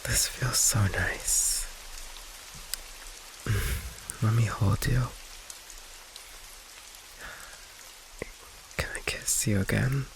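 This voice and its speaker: A man playing a shy, affectionate vampire boyfriend in an intimate ASMR roleplay, speaking softly and haltingly with rain behind him. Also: fairly low pitch, fairly even intonation, low-quality recording. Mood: affectionate, sheepish. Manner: soft, hesitant, halting, breathy sighs and chuckles. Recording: faint noise, rain ambience behind. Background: rain ambience.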